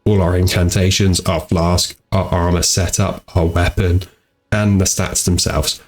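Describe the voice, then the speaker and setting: A man's voice: sits low, flat delivery, unhurried. A tired man with a blocked-up nose from a cold, chatting in an easygoing, rambling way as he hosts a gaming channel into a home microphone. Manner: easygoing and rambling, repeats "go ahead". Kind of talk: casual commentary over gameplay. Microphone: home desk microphone.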